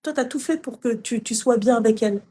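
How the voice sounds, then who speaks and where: A woman's voice: a little high, fairly quick, warm tone, slightly rough. A woman hosting a live call-in stream, giving affectionate, reassuring advice to callers. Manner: affectionate, reassuring, calls callers "my little heart". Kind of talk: casual live call-in chat.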